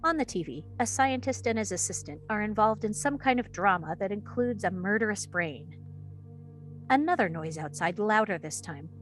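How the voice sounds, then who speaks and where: A woman's voice: mid-range voice, expressive, moderate pace, faint music behind. A woman reading stage directions as the narrator of a screenplay table read.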